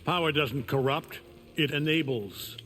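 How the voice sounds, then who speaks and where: An actor playing an arrogant, menacing alien conqueror in a fighting game, commanding and contemptuous. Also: male voice, on the high side, a little slow, a bit bright, slightly rough, long reverb tail. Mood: cold, commanding. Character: arrogant, menacing alien superhuman conqueror, male, middle-aged. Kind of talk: fighting game character banter.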